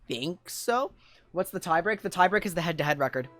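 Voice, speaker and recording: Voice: mid-range voice, animated, fairly quick, fairly bright tone, faint music behind. A tournament host with a voice wearing out from long talking, chatting casually and appreciatively to viewers on an esports livestream. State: losing voice after two days of talking. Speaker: tournament host and streamer caster.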